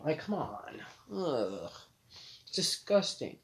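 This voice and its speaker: A young man with a deep voice, co-hosting a casual podcast from a friend's house, laid-back and joking, swearing casually. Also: big pitch swings, slow pace. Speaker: podcast co-host.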